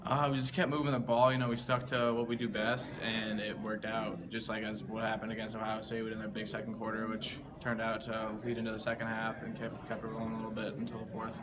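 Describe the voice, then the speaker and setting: A young man, a player, giving quick, casual postgame answers in an indoor hallway. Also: lowish voice, monotone, heavy background noise. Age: young adult. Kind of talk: postgame interview.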